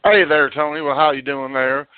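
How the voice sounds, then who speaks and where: Stammering caller on a tinny phone line. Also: male voice, a little high, steady tone, moderate pace, clear, resonant tone, dry recording. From Alabama. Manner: stammering, folksy, rambling, turns taunting. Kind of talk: rambling call to a radio show.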